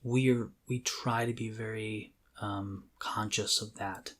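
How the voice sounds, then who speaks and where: A man's voice: lowish voice, fairly even intonation, slow pace. A man co-hosting a podcast in casual conversation, speaking in a candid, self-deprecating way. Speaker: podcast co-host.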